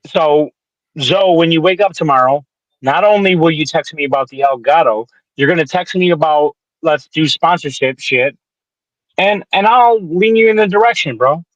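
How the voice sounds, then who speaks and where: Twenties male podcast co-host, fast and profane, pitched a little high, on a muffled lo-fi dry mic. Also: dry recording. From Detroit area. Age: 20s. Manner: animated, profane, pitching advice. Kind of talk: casual podcast chat. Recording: dull lo-fi sound.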